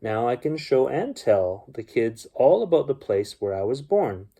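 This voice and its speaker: An excitable little girl from a picture book, read by a man. The voice is mellow yet eager and curious, in a gentle storybook style. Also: medium pitch, a little slow. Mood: proud.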